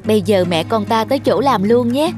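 A gentle young mother from a cartoon acted by a woman. Her voice sits fairly high and stays determined, with music clearly playing behind it. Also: fairly quick, lightly acted. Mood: tender. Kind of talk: cartoon dub acting.

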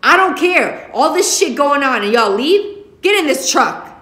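A woman's voice: sits high, sing-song, unhurried, pitched above the performer's own voice, full character voice. Woman acting an angry, barking dad in an echoey room. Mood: furious. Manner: forceful, commanding, yelling. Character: angry, barking father scolding his teenage kids. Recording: echoey classroom.

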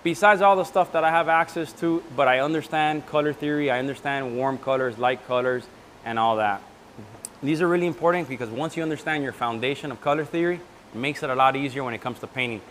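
A man in his thirties leads a paint workshop in a casual, joking way, tossing out half-finished thoughts. His voice sits at an ordinary pitch and comes through a clip-on mic in an indoor room. A faint hum of room noise lies underneath. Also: fast talker, a bit bright. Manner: loose and jokey, trailing off mid-sentence. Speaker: host and paint maker. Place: indoor event room before a backdrop. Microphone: lapel mic.

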